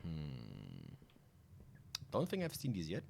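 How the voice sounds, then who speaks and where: Very deep-voiced German man streaming games. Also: huge pitch swings, slow, creaky, warm tone, a little hiss or hum. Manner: casual, sweary, rambling asides. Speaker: video game streamer. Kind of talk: live gameplay commentary, reading game text aloud.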